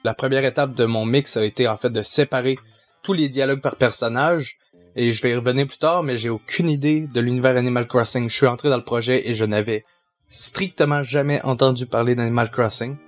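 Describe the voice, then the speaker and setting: A young man with a Québécois French accent, a sound mixer answering fan questions, measured and hesitant with many fillers. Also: medium pitch, steady tone, soft background music. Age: young adult. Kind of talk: casual Q&A answers.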